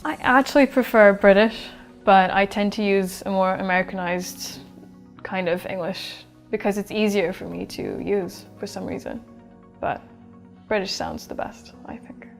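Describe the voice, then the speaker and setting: A woman in her twenties from Sweden answers interview questions in a relaxed, chatty way, pausing with fillers as she thinks. Her voice sits at an ordinary middle pitch, and faint music plays behind her. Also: vocal fry. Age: 20s. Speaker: English teacher being interviewed.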